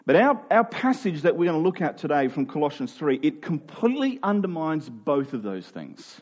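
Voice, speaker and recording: A man's voice: on the high side, very animated, normal speed. A man preaching in a conversational, expository style, earnest and informal, leaning on key phrases for emphasis.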